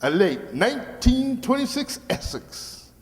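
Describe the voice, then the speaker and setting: Shameless car dealer, wildly animated high voice, slow drawn-out patter, radio comedy, long hall reverb. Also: male voice, full character voice. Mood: boastful pitching. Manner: theatrical, drawn-out sales patter. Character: folksy fast-dealing used-car salesman, smooth and shameless. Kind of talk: old-time radio comedy acting.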